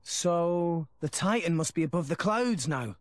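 A man's voice: fairly high pitch, expressive, measured pace, no room sound. An actor playing a plucky, hot-headed teenage boy hero in a video game, earnest and defiant. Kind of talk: video game cutscene voice acting.

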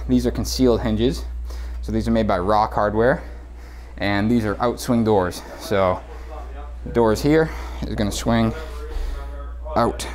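A man's voice: medium pitch, darkish tone. A man walking through a renovation site with a head-mounted camera, casually explaining the construction details he points out. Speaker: builder giving a site tour. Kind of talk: walkthrough explanation on a job site. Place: indoor renovation site, bare rooms. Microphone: head-mounted action camera.

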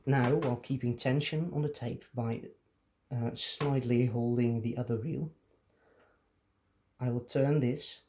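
A man's voice: medium pitch, mellow, a little hiss or hum. A man presenting a casual hands-on tutorial, explaining things step by step.

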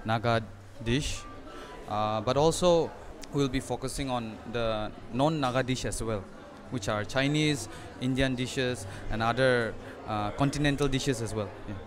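A man's voice: medium pitch, a little slow, music in the background. Young man answering a reporter. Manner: hesitant, restarts often, earnest. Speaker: restaurant owner. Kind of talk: on-camera news interview. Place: indoor restaurant dining area. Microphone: handheld reporter mic.

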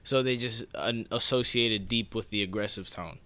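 A man's voice: lowish voice, normal speed, pushed and bright. A young man hosting a casual podcast discussion, speaking in a conversational way. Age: young adult. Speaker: podcast host. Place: small living room, indoors. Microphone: podcast microphone.

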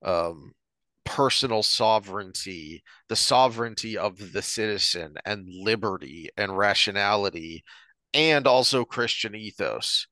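An American man co-hosting a podcast, discussing ideas earnestly in a deliberate, emphatic way. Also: lowish voice, animated, bright, forceful tone, no room sound. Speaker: podcast co-host. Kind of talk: earnest discussion of politics and philosophy.

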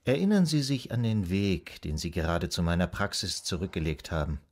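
An actor playing a calm, soothing psychoanalyst in a radio drama, guiding a patient in a measured, hypnotic, gently instructing way. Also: male voice, low-pitched. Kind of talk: German radio drama acting.

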